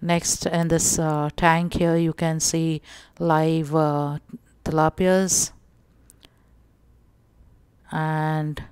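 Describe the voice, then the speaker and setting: A woman from India narrating a casual shopping walkthrough vlog, calm and explanatory with frequent pauses and fillers. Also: low-pitched, clean tone, no echo. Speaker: vlogger.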